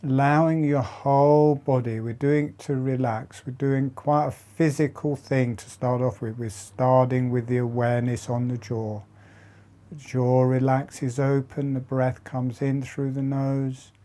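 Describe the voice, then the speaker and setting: Slow, soft-spoken man guiding meditation. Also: medium pitch, flat delivery. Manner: slow, soft, halting phrases with long pauses. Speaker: meditation teacher. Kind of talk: guided breathing meditation.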